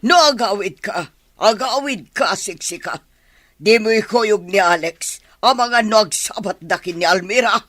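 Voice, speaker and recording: A woman's voice: medium pitch, a little slow, a bit bright, no echo, clean studio sound. An actress with an Ilocano Filipino accent playing a disapproving, worried mother of a grown son in a radio drama. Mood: upset, protesting.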